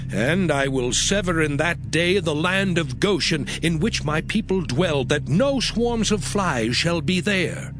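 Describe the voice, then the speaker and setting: A man playing a stern, commanding prophet, declaiming solemn warnings in a dramatized scripture recording. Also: fairly high pitch.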